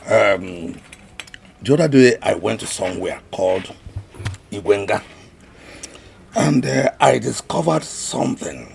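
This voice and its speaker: An actor playing a scheming uncle who speaks slowly and deliberately. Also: male voice, on the low side, expressive, darkish tone, slight background noise, heavily acted. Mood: persuasive. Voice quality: gravelly, slow and deliberate. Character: scheming uncle pushing a deity on his kin.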